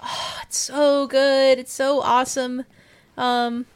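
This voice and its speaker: A woman's voice: high-pitched, level tone, takes it slowly, smooth. A woman who works as a game effects artist, chatting casually and rambling with many restarts. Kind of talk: casual podcast chat.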